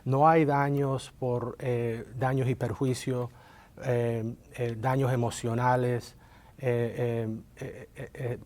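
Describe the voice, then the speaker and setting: A man's voice: medium pitch, level tone, slow pace, darkish tone. Hesitant man guest, Colombian-born, explains the law with frequent fillers. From Colombia, raised in Miami. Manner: hesitant, many filler sounds. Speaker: workers' compensation lawyer, guest. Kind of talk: interview answers.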